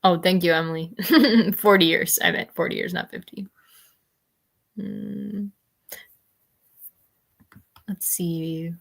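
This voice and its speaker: A woman's voice: on the low side, steady tone, takes it slowly, creaky, pauses often. A woman hosting a casual book discussion, talking tentatively as she thinks aloud. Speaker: host of a book discussion livestream.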